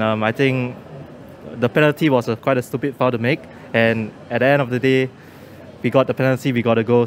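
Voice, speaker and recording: A young man with a Singaporean accent, a football fan giving casual post-match opinions into a handheld phone outdoors. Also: mid-range voice. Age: young adult. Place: outdoor stadium entrance. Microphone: handheld phone held toward him. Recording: noisy outdoor phone recording.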